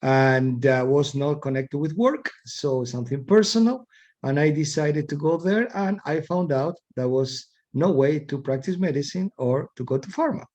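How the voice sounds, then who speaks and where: A man's voice: a little high, normal speed, a little mellow. A middle-aged man from Argentina with an Argentine Spanish accent, a guest in an interview, speaking thoughtfully and often repeating words as he searches for phrasing. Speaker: guest, executive coach. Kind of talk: casual interview talk.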